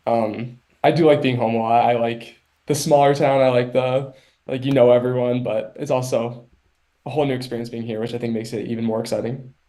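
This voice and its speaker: A man's voice: medium pitch, little pitch movement, a little fast, warm tone. A young man from Long Island, a college swimmer, answering questions in an easygoing, laid-back way. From Long Island, New York. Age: young adult. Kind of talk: casual interview answers.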